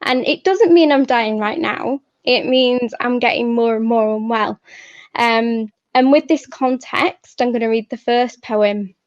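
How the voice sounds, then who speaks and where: A young woman speaks as a poet, sharing a personal talk and verse. She takes her time, pausing between short phrases. The sound is dry and a little dull, like muffled online audio. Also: fairly high pitch, no echo. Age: young adult. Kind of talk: personal talk and poetry reading.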